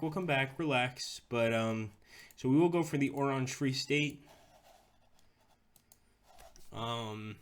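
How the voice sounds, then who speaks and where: A man's voice: medium pitch, fairly bright tone. A young man casually talking through a strategy game on a live stream, chatting with viewers as he plays. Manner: rambling, chats with viewers, talks through moves.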